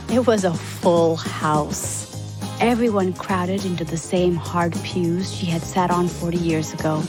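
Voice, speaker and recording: A woman reading a scripted biographical story as a podcast narrator for young listeners. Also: on the low side.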